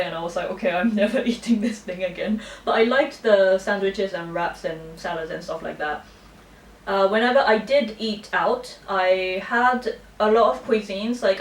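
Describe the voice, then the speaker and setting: A woman's voice: mid-range voice, distant mic. Chatty Japanese woman in a roomy space. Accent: Japanese, with British touches. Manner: chatty, frequent "like" fillers. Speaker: vlogger. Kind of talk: casual Q&A vlog.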